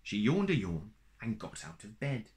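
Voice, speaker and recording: A man reading a children's picture book aloud in a slow, playful storytime manner, adding little asides to listeners. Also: on the low side, big pitch swings, darkish tone, a little hiss or hum.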